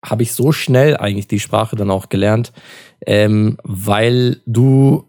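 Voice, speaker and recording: A man's voice: lowish voice, slow, a little mellow. A young man in a casual podcast chat, talking in a slangy, informal way.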